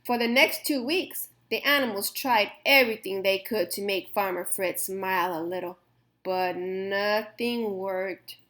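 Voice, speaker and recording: A woman reading a children's picture book aloud in an expressive, gentle storytime manner. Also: medium pitch, unhurried.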